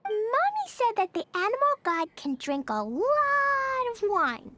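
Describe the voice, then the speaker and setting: A very high, piping little girl from a video game. She is innocent and eager, lingering over her words with wide-eyed, swooping excitement. Light music plays behind her. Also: a little slow, no room sound, heavily acted. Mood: excited, innocent. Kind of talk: video game character acting.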